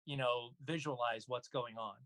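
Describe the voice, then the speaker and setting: Male, medium pitch, interview guest, actor and narrator, casual conversational delivery. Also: steady tone, normal speed, fairly bright tone. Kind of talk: casual interview answers about own work.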